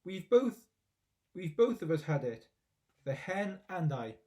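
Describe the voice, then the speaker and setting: A man voicing a loud, scornful, boastful old grandmother in a story. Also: high voice, big pitch swings, slow, pitched above the performer's own voice, heavily acted. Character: bossy, shouting, cackling old grandmother.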